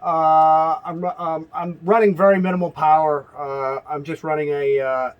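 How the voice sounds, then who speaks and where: A man's voice: a little high, level tone, unhurried, smooth, lo-fi sound. A man speaking hesitantly as an amateur radio operator, giving calls and casual replies. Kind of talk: radio call and casual reply.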